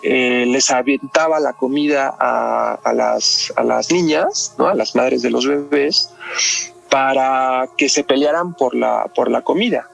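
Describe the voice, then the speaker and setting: Gravelly Mexican man explaining, music behind. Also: medium pitch, a little slow. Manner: explains step by step, often adding tag questions. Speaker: psychoanalyst, podcast guest. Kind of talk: explanatory conversation about a crime case.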